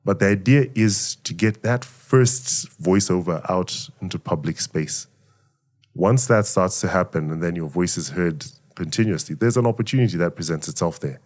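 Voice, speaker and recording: A middle-aged man from Zambia, a voice actor giving thoughtful interview answers in a measured, reflective way. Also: deep voice, darkish tone. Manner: measured, reflective, speaks of faith and gratitude. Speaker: voice actor and emcee, guest.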